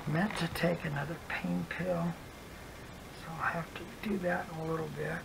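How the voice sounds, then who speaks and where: Gravelly, hoarse adult rambling softly. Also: medium pitch, level tone, normal speed, background noise. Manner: rambling, soft-spoken, drifts into whispers. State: hoarse, voice cutting in and out. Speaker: craft vlogger. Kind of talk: casual chat while crafting.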